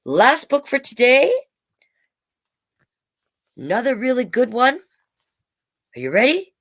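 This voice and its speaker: A woman's voice: a little high, sing-song, takes it slowly, a bit bright. A woman hosting a storytime, reading a children's book aloud expressively and coaxing young listeners with little asides. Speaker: storytime host, drag king performer.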